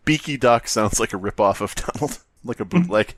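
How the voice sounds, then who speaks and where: A man's voice: mid-range voice, animated, normal speed, no echo. A man co-hosting a podcast with casual comic banter, riffing in mock-serious bits. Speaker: podcast co-host.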